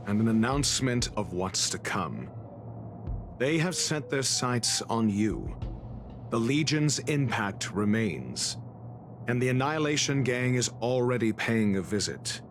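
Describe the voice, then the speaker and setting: An actor playing a disdainful scholarly man in a game, measured, articulate and condescending. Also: medium pitch, lively intonation, over music. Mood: cool disdain. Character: disdainful scholarly man, coolly assessing his enemies.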